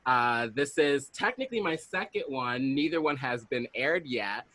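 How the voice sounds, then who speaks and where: A man from the US East Coast hosting a casual podcast chat, enthusiastic and quick to laugh. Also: mid-range voice, expressive, pushed and bright. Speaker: podcast host.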